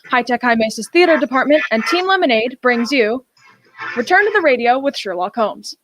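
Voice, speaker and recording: A woman's voice: fairly high pitch, expressive, brisk, a bit bright, a little hiss or hum. A young woman giving a scripted announcement as host of an old-time style radio show.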